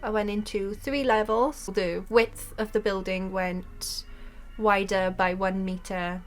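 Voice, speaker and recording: A young Welsh woman with a Welsh accent, chatting excitedly about a game update and then calmly explaining her building steps. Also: medium pitch, takes it slowly, soft background music. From Wales. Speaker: game content creator. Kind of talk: casual gameplay commentary.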